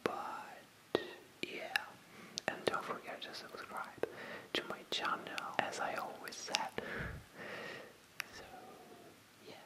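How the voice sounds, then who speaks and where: An ASMR creator speaking to viewers in a pure breathy whisper, with a halting, hesitant delivery that often repeats phrases. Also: poor audio.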